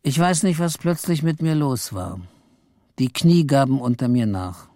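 Male actor as weary clerk narrator for noir radio drama: dark, mid-pitched, ironic, dry studio sound. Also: measured pace, no room sound, close to an everyday voice. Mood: brooding. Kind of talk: first-person radio play narration.

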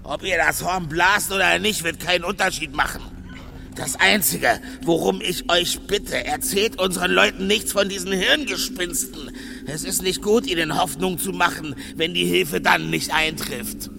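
An actor playing a gruff, blunt dwarf warrior, skeptical but loyal, with a gravelly voice in a radio play. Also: male voice, high voice, moderate pace, noisy background. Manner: blunt, blustering.

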